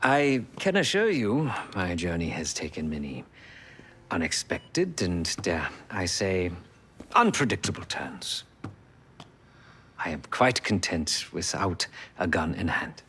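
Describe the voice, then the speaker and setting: An actor playing a fussy, courteous, sly tech expert in a video game, speaking formally and theatrically with precise diction. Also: male voice, low voice, very animated, slow pace, fairly bright tone, a little hiss or hum, full character voice. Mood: wry, dignified. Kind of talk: video game cutscene acting.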